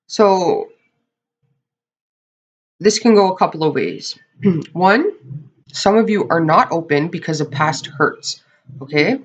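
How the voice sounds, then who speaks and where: A tarot reader with a hoarse, crackling voice from losing it, giving a casual monthly reading to camera and often checking in with the viewers. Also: medium pitch, expressive, slow pace, pauses often. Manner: chatty, often checks in with "okay?"; clears throat often.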